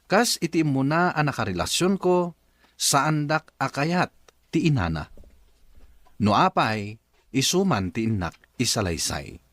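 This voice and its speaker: Filipino man, radio host, scripted narration, swooping expressive delivery, close dry sound. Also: medium pitch, a little slow, long pauses, no room sound.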